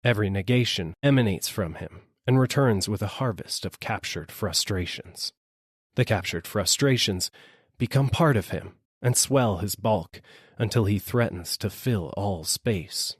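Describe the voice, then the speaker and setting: A man's voice: fairly low pitch, unhurried, breathy edge, no echo, studio quality. A man reading a literary story aloud as a scripted audiobook narration. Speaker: audiobook reader.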